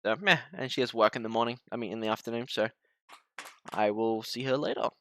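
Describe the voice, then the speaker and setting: A man's voice: medium pitch, a little fast, creaky, fairly bright tone, no echo. A young man winding down a live gaming stream, chatting casually and stumbling over his words. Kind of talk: casual end-of-stream chat.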